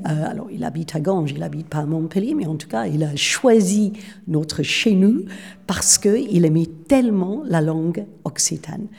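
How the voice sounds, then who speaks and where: A woman's voice: fairly low pitch, gravelly, mellow, slightly rough. A woman, speaking as a city official in an interview at a public event, talking eagerly and often repeating words as she searches for the next one.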